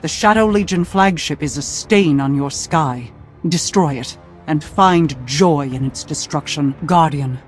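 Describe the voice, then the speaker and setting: Game villain casting: actor as imperious dark overlord, formal, grandiose, commanding, mid pitch, music underneath. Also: a little slow, close to an everyday voice. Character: imperious dark commanding being granting power, triumphant. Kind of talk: dramatic game villain dialogue.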